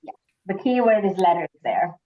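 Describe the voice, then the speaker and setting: A woman's voice: mid-range voice, sing-song, normal speed. A woman hosting a quiz, reading questions aloud and moderating informally. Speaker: quiz host. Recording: dull lo-fi online call audio.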